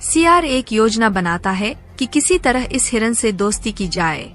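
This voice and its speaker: A woman tells a children's animal fable as a scripted narration. Her voice is on the high side, over soft music. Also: big pitch swings, a little slow.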